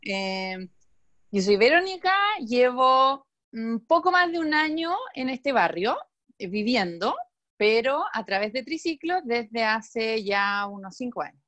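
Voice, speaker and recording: A woman's voice: a little high, very animated, takes it slowly, fairly bright tone, no echo. A woman in her thirties with a Chilean accent, chatting casually as a guest and explaining her work with many fillers. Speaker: guest, company general manager. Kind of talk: casual video-call conversation.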